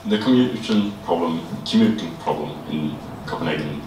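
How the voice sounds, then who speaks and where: Very low-voiced man presenting in a hall. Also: darkish tone. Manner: halting, frequent "uh" and "um". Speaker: student presenter. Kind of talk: group design presentation. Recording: echoey room, distant mic, noise.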